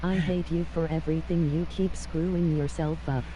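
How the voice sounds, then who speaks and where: A woman's voice: low voice, fairly even intonation, normal speed, dark tone, lo-fi sound, a little hiss or hum. A woman chatting casually and teasingly during a gaming session.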